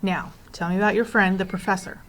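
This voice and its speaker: A woman's voice: mid-range voice, steady tone, lightly acted, rough recording. Woman acting a stern, pompous towering male creature. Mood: grave, measured. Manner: brisk, pompous scolding. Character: stern, snappish towering male creature, grudgingly soft-hearted.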